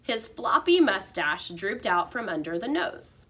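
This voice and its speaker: A woman reading a children's storybook aloud like a teacher, with expressive narration. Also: medium pitch, unhurried, husky.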